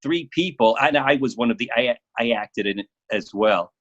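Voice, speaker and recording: A man speaking casually as an interview guest about his work, often halting and repeating words as he goes. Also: medium pitch, fairly bright tone, highs cut off.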